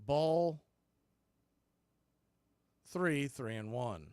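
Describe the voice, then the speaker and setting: A man calling baseball play-by-play as a radio announcer, in clipped, folksy phrases that range from quiet to excited. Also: mid-range voice, very slow, smooth, no room sound. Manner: swings from hushed calls to excited shouts. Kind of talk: live radio play-by-play commentary.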